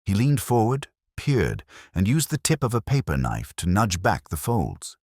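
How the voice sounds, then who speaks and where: A man's voice: lowish voice, wildly swooping pitch, measured pace, a little mellow, no echo, studio quality. A man narrating a scripted first-person detective story in a wry, hardboiled storytelling manner. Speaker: audiobook narrator.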